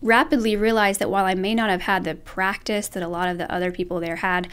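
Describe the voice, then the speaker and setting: A young woman from Alabama speaks as an interview guest, earnest and reflective. She often pauses to rephrase herself, and her voice sits in a middle pitch. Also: steady tone, a bit bright, smooth. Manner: earnest, reflective, self-correcting. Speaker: guest artist. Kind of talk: casual interview chat.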